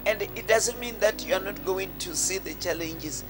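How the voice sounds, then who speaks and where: A woman's voice: medium pitch, normal speed, over music. A middle-aged woman pastor making an altar call on an outdoor stadium stage, repeating pleas to come forward into a handheld mic. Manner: pleading, repetitive calls to come forward. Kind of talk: altar call sermon with interpreter.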